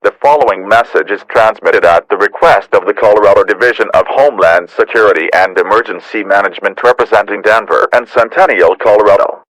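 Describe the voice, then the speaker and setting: A man with a fairly low voice reads a scripted emergency alert. His delivery is formal and measured, like an official announcer. The sound is very dry, without any room echo. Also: fairly bright tone.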